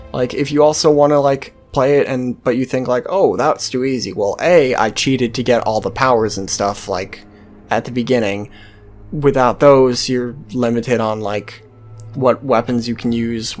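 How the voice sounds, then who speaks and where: A man hosting a gaming video with casual, unscripted commentary, rambling along and swearing freely. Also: mid-range voice.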